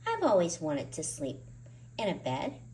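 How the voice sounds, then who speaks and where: A woman's voice: fairly low pitch, wildly swooping pitch, measured pace, dark tone. A woman voicing a small toy bear in a storybook, innocent and full of wonder, musing earnestly to himself. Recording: distant microphone with faint background noise.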